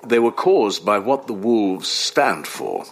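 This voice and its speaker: Gravelly, deep old psychoanalyst. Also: male voice, sing-song, exaggerated voice. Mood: pensive, instructive. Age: older. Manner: deliberate, professorial, hesitant murmurs. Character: wry, learned old psychoanalyst and father, patient and pensive. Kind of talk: audio drama acting.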